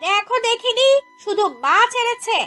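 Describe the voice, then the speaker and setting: A woman as a very high-pitched nagging village wife. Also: measured pace, sharp tone, soft background music, full character voice. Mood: scolding. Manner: shrill scolding and insisting. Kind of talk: cartoon fairy tale character dialogue.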